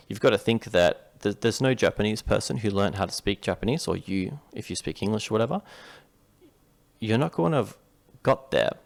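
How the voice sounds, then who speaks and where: A man's voice: low-pitched, creaky. A man from Western Australia with an Australian accent, explaining a Japanese reading lesson to viewers in a chatty, rambling way with frequent restarts. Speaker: Japanese tutor. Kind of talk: casual screen-share reading lesson.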